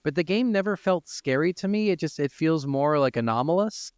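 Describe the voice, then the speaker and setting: A man's voice: a little high, animated, brisk, smooth, no echo, clean studio sound. A man co-hosting a podcast, talking casually and profanely about video games. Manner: informal, freely profane. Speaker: podcast co-host. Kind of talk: casual video game discussion.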